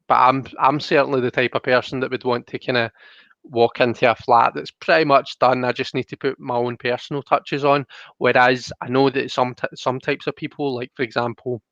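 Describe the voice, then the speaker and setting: A young man chats as a live stream host, with an ordinary mid-pitched voice. He rambles and thinks aloud in a casual way. The sound is dry and slightly dull. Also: steady tone, moderate pace, vocal fry, fairly bright tone, dry recording. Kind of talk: casual chat, reading out viewer comments.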